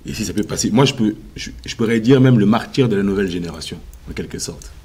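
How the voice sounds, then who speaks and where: A man with a very low, husky voice answers an interviewer on a television show. He speaks with confidence and emphasis, stopping often on filler sounds as he builds his points. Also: fast talker, a little mellow. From Congo. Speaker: musician and guest.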